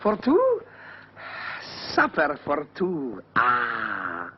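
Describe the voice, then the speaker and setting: A fussy, servile hotel waiter gossips and adds sir to every line. His mid-pitched voice comes through a dull old soundtrack with faint hiss. The whole performance is eager to please. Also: huge pitch swings, extremely slow, exaggerated voice. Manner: servile, forever saying sir, ungrammatical. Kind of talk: 1940s British film comedy acting.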